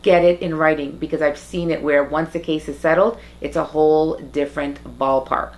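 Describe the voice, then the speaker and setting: A woman's voice: low voice, fairly even intonation, moderate pace, darkish tone. A woman from Guyana, an interview guest giving direct advice, emphatic and pleading, repeating her key points. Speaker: interview guest advising the community on legal cases.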